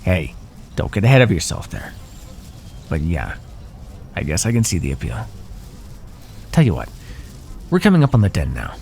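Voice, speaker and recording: A man's voice: sits low, huge pitch swings, moderate pace, creaky, long pauses, a little mellow, slight background noise, full character voice. A man voicing a bored, teasing, menacing naga predator in an ASMR fantasy roleplay, speaking with casual, dry condescension. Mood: aloof, amused. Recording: echoey, long cave-like reverb.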